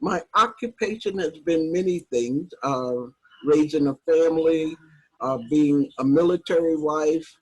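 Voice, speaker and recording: Husky woman in her eighties. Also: deep voice, measured pace, poor audio. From Harlem, New York. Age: 80s. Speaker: workshop participant. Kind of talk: personal reflection on a video call.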